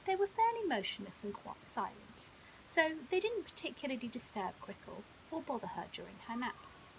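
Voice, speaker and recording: A woman's voice: fairly high pitch, poor audio. A woman narrating a children's bedtime story expressively, like a primary school teacher reading aloud. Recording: hissy background noise.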